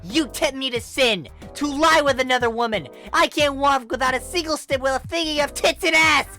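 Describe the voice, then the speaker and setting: A woman's voice: sits high, normal speed, pushed and bright, pitched above the performer's own voice. A woman voicing a melodramatic, repressed teenage girl zealot, preaching in outrage for a story reading. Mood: frantic, preachy. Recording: music bed under the voice.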